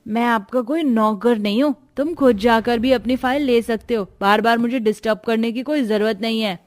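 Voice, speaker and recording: Woman playing a high-pitched bratty cartoon child. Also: moderate pace, natural voice. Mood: irritated, defiant. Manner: bratty, insolent. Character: rude, spoiled rich child, defiant and snooty. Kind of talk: Hindi cartoon moral story voice acting. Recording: music behind the voice.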